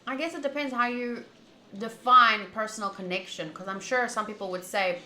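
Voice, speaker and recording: A woman with a bright, crisp-edged voice does casual visual novel commentary, switching between reading aloud and chatting. The sound is roomy and echoey, with faint noise behind her. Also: fairly high pitch, fairly even intonation, normal speed. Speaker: streamer playing a visual novel.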